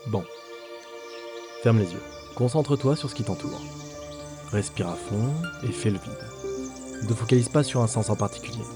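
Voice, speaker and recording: Guy playing a calm gruff ranger in a fantasy audio drama, kinda reserved, explains stuff, music going behind him. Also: fairly low pitch, normal speed, pauses often, natural voice. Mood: quiet, guiding. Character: male ranger player in a fantasy game world, gruff but helpful.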